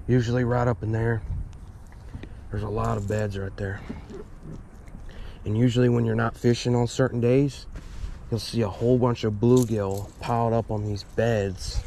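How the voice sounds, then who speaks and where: Young guy chatting while he fishes, lowish flat voice, loud wind noise on a head cam outdoors. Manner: relaxed, chatty, pointing out spots. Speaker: fishing vlogger. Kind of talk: casual fishing commentary. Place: small boat on a backyard pond, outdoors. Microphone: head-mounted action camera.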